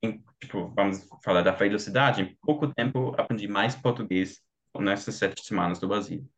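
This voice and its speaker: A young German man with a German accent, a podcast guest talking casually in his second language and often pausing to search for words. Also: on the low side, fairly bright tone. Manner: searches for words, many "tipo" fillers. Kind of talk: casual conversation.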